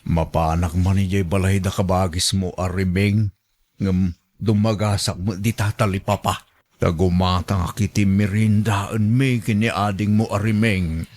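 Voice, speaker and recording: An actor with an Ilocano accent playing a gullible, easily wounded suitor in a radio drama, with naive, drawn-out exclamations. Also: male voice, low-pitched, steady tone, darkish tone. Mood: friendly, courting.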